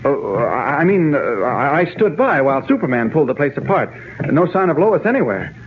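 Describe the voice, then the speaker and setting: A man's voice: mid-range voice, very animated, a little fast, phone-quality sound, lightly acted. Guy acting as urgent take-charge american reporter, 1940s radio serial, voice swings a lot, thin hissy sound. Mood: purposeful. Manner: urgent, decisive. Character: quick-thinking, take-charge male reporter, secretly a superhero.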